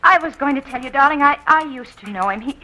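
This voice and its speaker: A woman's voice: high voice, expressive, quick pace, noisy background. An actress playing an anxious, secretive young woman in love, who talks in a breathless, stammering way in 1940s radio acting style. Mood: anxious, pleading.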